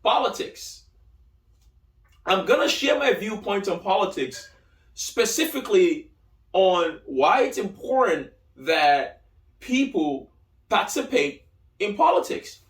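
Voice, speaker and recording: High-voiced Nigerian man in an echoey room. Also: very animated, slow pace, pauses often. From Lagos, Nigeria. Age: 30s. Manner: emphatic, repeats key phrases for effect. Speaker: podcast host. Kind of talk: solo opinion podcast monologue. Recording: echoey room, distant from mic.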